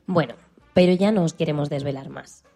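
A woman with a low, dark-toned voice co-presents a radio programme from a script. Music plays behind her. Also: fairly quick.

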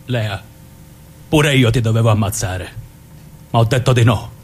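A man's voice: mid-range voice, measured pace, husky. A man playing a protective, resigned brother born into the mafia in a radio drama. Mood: wistful, resigned. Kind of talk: stage-style radio drama.

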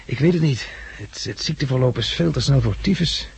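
A man's voice: medium pitch, animated, brisk, vocal fry, darkish tone, husky, full character voice. An actor with a Netherlands Dutch accent playing a resolute, practical man who leads blind disaster survivors in a 1960s radio drama. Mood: sober, practical. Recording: noisy, clicky 1960s radio recording.

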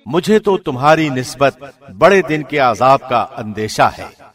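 A man narrating a scripture translation in a formal, measured, solemn announcer's delivery. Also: mid-range voice, animated.

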